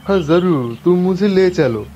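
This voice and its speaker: Cartoon casting: male narrator as kind, grateful adult stranger, mellow voice over music, slightly dull audio. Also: high voice, lively intonation, measured pace. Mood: kindly concern. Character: grateful, kindly man who lost his bag. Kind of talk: animated moral story dialogue. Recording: muffled audio with background music.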